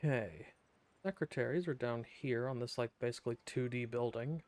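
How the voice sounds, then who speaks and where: A man's voice: medium pitch, a little fast, a little mellow. A man streaming a video game live, chatting casually, thinking aloud and reading game text in a laid-back way.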